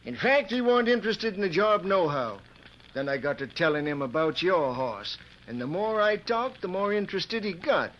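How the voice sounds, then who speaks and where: An actor playing a genial, folksy ranch owner with cowboy talk in an old radio western, on a dull, noisy recording. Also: male voice, sits high, lively intonation, moderate pace. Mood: folksy, curious.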